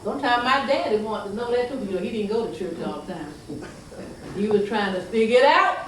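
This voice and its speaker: An older woman tells homespun family stories to a live audience, taking her time with drawn-out asides. Her voice sits a little high, and it rings through a very echoey room from some distance off the mic, with faint ambience behind it. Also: lively intonation, slight background noise. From North Carolina. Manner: folksy, chuckling, drawn-out asides. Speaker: storyteller. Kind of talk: folksy storytelling to a live audience.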